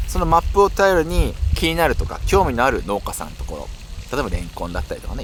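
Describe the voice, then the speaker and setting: Guy doing a casual outdoor vlog, pitch bounces around, clear background noise, explains stuff straight to viewers. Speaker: cycling vlogger presenting local activities. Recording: noisy outdoor audio with wind rumble.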